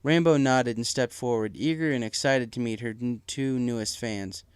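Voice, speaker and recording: A man's voice: medium pitch, moderate pace, smooth, no room sound, clean studio sound. A man reading a story aloud as dramatic scripted narration. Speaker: story reader. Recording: music playing behind the voice. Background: music.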